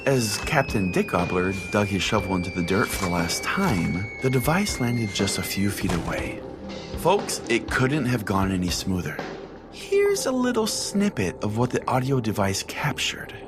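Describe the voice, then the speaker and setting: A man's voice: lowish voice, wildly swooping pitch, measured pace, over loud music. A man playing an earnest, smug pastor who hosts a comedic radio show, with a theatrical matter-of-fact delivery. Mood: smug narrating. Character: earnest, easily scandalized male pastor hosting a radio show. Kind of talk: comedic mock talk radio hosting.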